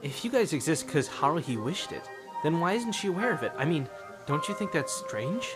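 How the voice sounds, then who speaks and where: A man's voice: a little high, very animated, a little fast. A man voicing a skeptical, exasperated, sarcastic teenage schoolboy in an amateur anime fan dub, grumbling and questioning. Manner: dry, grumbling, doubting, questioning. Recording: loud music under the voice.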